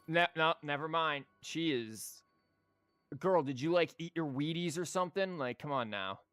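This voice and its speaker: A man gives casual live commentary as he plays a game. His voice is mid-pitched, and he talks in slangy, sweary, joking bursts. Music plays faintly in the background. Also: a bit bright.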